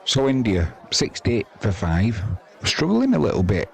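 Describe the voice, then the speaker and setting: Deep-voiced male cricket commentator. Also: wildly swooping pitch, a little slow, vocal fry. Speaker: live cricket commentator, stream host. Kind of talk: ball-by-ball sports commentary.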